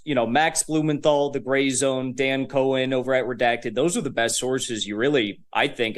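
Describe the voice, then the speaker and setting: A young man appearing as a guest commentator, talking in a casual, emphatic, fast-flowing way with fillers. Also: medium pitch. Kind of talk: political commentary.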